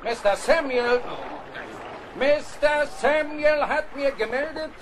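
A furious, tyrannical ship captain in a radio play. His voice sits very high and barks out menacing commands. Shipboard noise runs clearly behind him. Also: slow pace. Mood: stern, aggrieved. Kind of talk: German radio play acting.

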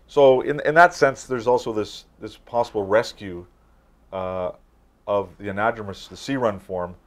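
Low-voiced older man, halting explainer. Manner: halting, repeats words while forming points. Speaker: academic expert, interview guest. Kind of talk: informal interview conversation, explanatory. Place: small office, indoors.

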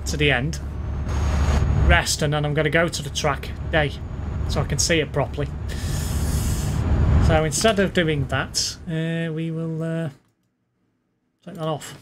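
A man's voice: on the high side, a little slow, pauses often. Chatty man with a Manchester-Lancashire accent. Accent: mixed Manchester and Lancashire. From Wales. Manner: chatty, reads viewer comments aloud. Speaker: streamer. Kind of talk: casual live-stream chat while gaming. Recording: steady noise behind the voice.

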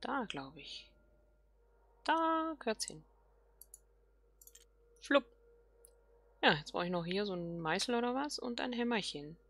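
Husky, creaky woman musing aloud. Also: medium pitch, very animated, measured pace, long pauses, fairly bright tone. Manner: musing, murmuring questions to herself. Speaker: let's play host. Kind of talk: casual gameplay commentary, thinking aloud.

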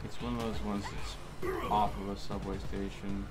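A man's voice: fairly low pitch, little pitch movement, normal speed. A man streaming a co-op game at home, talking casually in an exasperated, sarcastic way. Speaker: streamer playing a co-op shooter. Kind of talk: casual gaming chat. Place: indoor room at home. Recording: game music behind the voice. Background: video game music.

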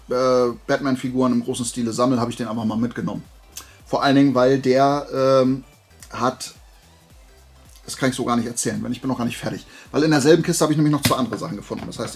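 Male vlogger, mid-pitched and a bit raspy, loose digressive storytelling, informal and playful, soft music behind. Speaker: video creator presenting flea market finds. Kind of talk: casual rambling chat to viewers.

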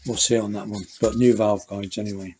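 A man's voice: lowish voice, brisk, slight background noise. Man talking through an engine rebuild. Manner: thinking aloud, relaxed, with many fillers and restarts. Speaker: motorcycle mechanic. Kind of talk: hands-on workshop commentary.

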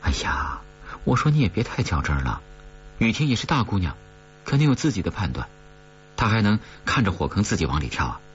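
A man voicing a coaxing wife, low-pitched. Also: very animated, fairly quick. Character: young wife, coaxing and mildly scolding. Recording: dull recording with mains hum.

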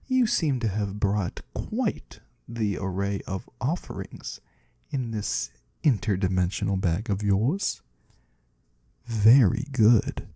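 A man playing a mysterious, courteous disembodied trader of the void in a soft-spoken ASMR roleplay, husky and breathy, slow and gently curious. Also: on the low side, big pitch swings, dry recording, studio quality, full character voice. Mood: hushed, mysterious. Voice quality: husky, breathy, sometimes whispering. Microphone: close, dry microphone.

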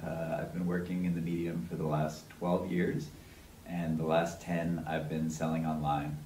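Deep flat-voiced man, distant and roomy. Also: normal speed, slight background noise. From Seattle. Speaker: ceramic artist. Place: room with art on the wall.

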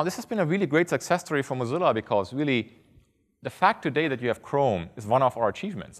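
A man in his thirties giving a conference keynote on stage, talking fast and earnestly, often restarting by repeating a word. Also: medium pitch. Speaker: keynote speaker. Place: large conference hall on stage. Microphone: headset. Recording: reverberant hall.

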